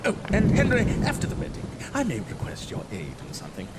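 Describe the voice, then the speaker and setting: A man playing a pompous, jovial older gentleman in a comedic gothic horror audio drama, hearty and old-fashioned in manner. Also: high-pitched, huge pitch swings, fast talker. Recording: echoey reverberant room with background noise.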